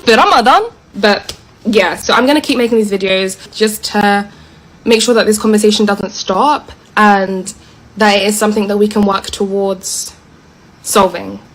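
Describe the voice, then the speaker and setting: Woman from Egypt, casual talk to camera, chatty, giggly, mid-range pitch. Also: normal speed, fairly bright tone, rough recording. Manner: chatty, giggles while talking.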